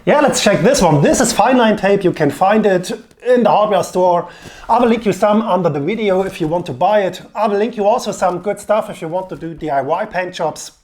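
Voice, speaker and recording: A man commenting on a bike painting video, explaining tape and materials in an instructive, slightly halting way. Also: high-pitched, a little mellow.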